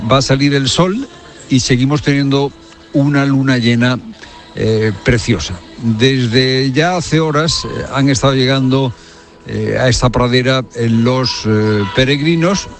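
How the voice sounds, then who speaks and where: A man reporting from outdoors, interviewing people off the cuff. His phrasing is halting and hesitant, his pitch is ordinary, and music plays behind him. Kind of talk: unscripted on-location interview. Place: outdoors in a meadow.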